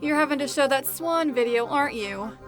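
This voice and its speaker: A woman's voice: high-pitched, moderate pace, music in the background, natural voice. A woman voicing a friendly, knowing female school staff member who talks in a casual, teasing way.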